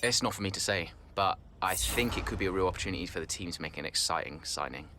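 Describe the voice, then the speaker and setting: A man's voice: sits low, animated, fast, pushed and bright. A man playing a guarded racing driver in a video game interview, hesitant and noncommittal, with faint noise behind. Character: guarded, self-focused male racing driver giving interview.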